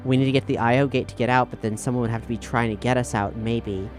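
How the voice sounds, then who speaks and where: A man's voice: medium pitch, fairly even intonation, fast. A man playing a tabletop game, talking casually and hesitantly as he thinks aloud. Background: faint music.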